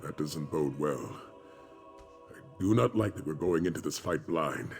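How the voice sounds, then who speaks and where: An actor playing a grave, wise dragon-hunter commander in a video game, speaking in a measured, solemn, formal way. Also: male voice, very deep voice, lively intonation, vocal fry, darkish tone, heavily acted. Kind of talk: video game dialogue acting. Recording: music behind the voice.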